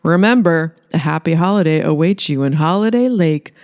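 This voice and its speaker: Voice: medium pitch, expressive, moderate pace, darkish tone, natural voice. A narrator voicing a glib, self-promoting small-town male mayor in an audiobook, speechifying like a salesman. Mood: smooth, reassuring. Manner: salesman-like, emphatic public speechifying.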